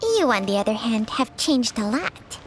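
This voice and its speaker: A woman's voice: fairly high pitch, sing-song, moderate pace, over music. A woman voicing an ancient, knowing snake companion in a video game, with a teasing, blunt manner. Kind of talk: scripted video game voice acting.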